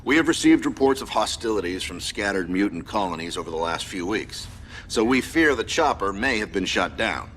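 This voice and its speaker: An actor playing a serious, authoritative military commander giving a crisp, formal mission briefing in a video game. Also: male voice, lowish voice, animated, a little fast, fairly bright tone, slight background noise. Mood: urgent, formal.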